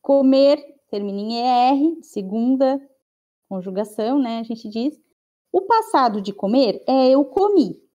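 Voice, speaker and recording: A woman's voice: sits high, expressive, unhurried, mellow, lo-fi sound. A woman with a Brazilian Portuguese accent teaching an online class, explaining patiently and checking in with her students often.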